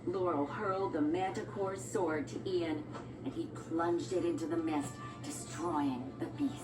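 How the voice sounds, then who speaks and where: Echoey low-pitched woman reading a storybook. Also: fairly even intonation, measured pace. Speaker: storyteller narrator. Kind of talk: scripted storybook narration. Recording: echoey, distant, music bed. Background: music and sound effects.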